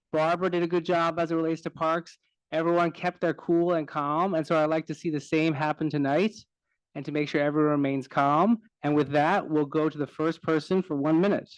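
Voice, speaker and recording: Smooth-voiced man chairing a meeting. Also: on the high side, no room sound, low-quality recording. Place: meeting hall.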